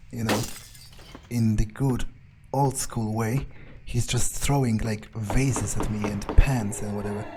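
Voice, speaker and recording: Deadpan, mellow-voiced man musing sardonically. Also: mid-range voice, a little hiss or hum, rough recording. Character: jaded, unimpressed male vampire muttering his thoughts. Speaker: let's player roleplaying in character. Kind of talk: improvised in-character game commentary, thinking aloud.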